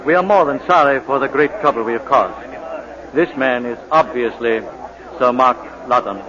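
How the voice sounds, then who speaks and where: A man playing a deferential courtroom barrister in a 1940s radio play. His address is formal, heard over a clear crowd murmur on a muffled old broadcast. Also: mid-range voice, moderate pace, natural voice. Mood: apologetic, solemn. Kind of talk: 1940s radio drama acting.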